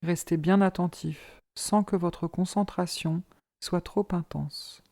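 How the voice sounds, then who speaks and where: A woman leading a guided yoga relaxation as an instructor, in a calm, measured, soothing manner. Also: fairly low pitch.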